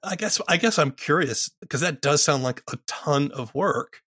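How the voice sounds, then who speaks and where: Husky male podcast host. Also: a little high, lively intonation, moderate pace, a bit bright. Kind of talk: interview questions and scripted narration.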